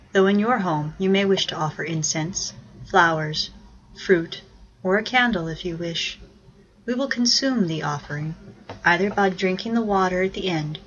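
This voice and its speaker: A woman narrates a guided meditation in a somewhat low voice. Her delivery is measured and instructive, with the tone of someone reciting prayers. The recording sounds a little dull and lo-fi, like a homemade track. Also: fairly bright tone.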